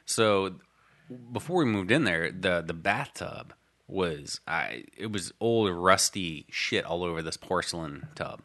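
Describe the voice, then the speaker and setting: A man's voice: low-pitched, expressive, unhurried, fairly bright tone. A young man in casual chat, telling rambling stories with many restarts. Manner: rambling storyteller, frequent restarts and fillers.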